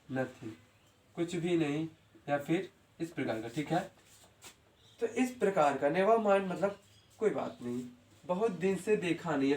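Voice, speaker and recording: A man teaching everyday English sentences, repeating each phrase slowly and asking if the students follow. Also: a little high, reverberant, far from the mic. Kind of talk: spoken English lesson in Hindi.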